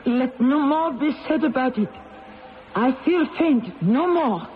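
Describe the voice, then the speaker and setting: A woman's voice: sits high, lively intonation, takes it slowly. An actress playing a proud, grieving French queen condemned to death, solemn and theatrical in an old-time radio drama. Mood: faint, weary. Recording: dull band-limited old radio recording, music behind.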